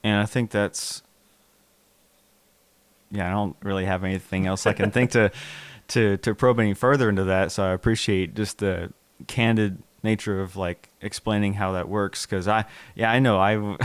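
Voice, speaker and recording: A man's voice: fairly low pitch, fairly even intonation, normal speed, clear, resonant tone, no echo. A man hosting a conversational podcast interview, asking questions in a tentative, roundabout way.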